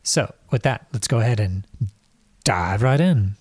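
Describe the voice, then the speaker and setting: A man's voice: on the low side, expressive, measured pace, a little mellow, breathy edge. A man hosting a podcast, introducing a guest and asking loose, casual questions with many fillers. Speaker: podcast host.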